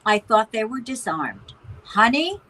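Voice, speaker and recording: Woman as exasperated middle-aged mom, husky and on the high side, sardonic stage dialogue. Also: big pitch swings, unhurried, sharp tone, exaggerated voice, low-quality recording. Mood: exasperated, indignant. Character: disapproving, sardonic middle-aged activist mother. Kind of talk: conversational stage-play dialogue.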